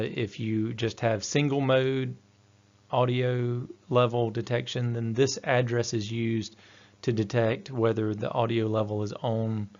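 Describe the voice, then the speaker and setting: Guy doing a methodical training voiceover, flat delivery, kinda dull lo-fi audio. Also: mid-range voice. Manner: methodical, explanatory. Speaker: technical trainer. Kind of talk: instructional presentation narration.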